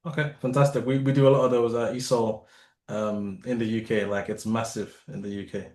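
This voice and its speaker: A man's voice: fairly low pitch, level tone, fairly quick, darkish tone, roomy sound. A male podcast host from the United Kingdom who talks casually and loosely, asking rambling questions with fillers over a video call. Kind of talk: casual interview hosting.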